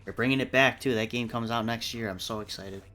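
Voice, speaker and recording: A man's voice: mid-range voice, steady tone, fairly quick, pushed and bright, soft background music. A man chatting informally and with some swearing on a live-streamed panel about entertainment. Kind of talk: casual group chat about games and films.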